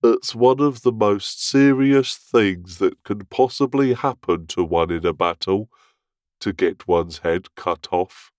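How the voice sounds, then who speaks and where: Man acting a slow, solemn, fussy little man. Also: lowish voice, darkish tone, no echo, studio quality. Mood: grave. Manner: grave, earnest.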